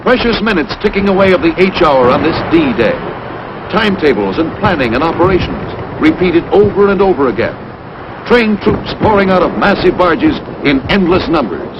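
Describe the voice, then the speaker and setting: A man's voice: high-pitched, big pitch swings, moderate pace. Man narrating a 1940s newsreel. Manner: emphatic, punchy announcer delivery. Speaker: film narrator. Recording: dull, hissy 1940s film soundtrack.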